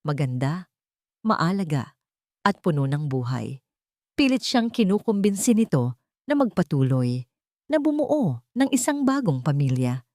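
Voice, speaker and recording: Lower-pitched woman narrating with lively swings. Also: a little slow, pauses often, no echo, clean studio sound. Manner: dramatic, expressive reading. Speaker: storyteller. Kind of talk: scripted dramatic story narration.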